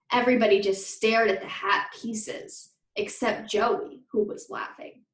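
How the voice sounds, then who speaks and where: A woman reads a children's story aloud in an expressive teacher's style. Her voice sits fairly high. The sound is clearly roomy and echoing. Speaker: teacher reading a book aloud.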